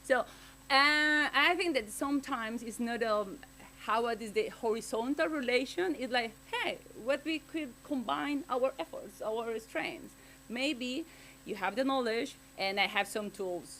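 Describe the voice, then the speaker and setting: Halting woman researcher speaking at a panel. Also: on the high side, pushed and bright, slight background noise, rough recording. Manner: halting, searching for words, many restarts and fillers. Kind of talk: academic panel talk. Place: conference panel.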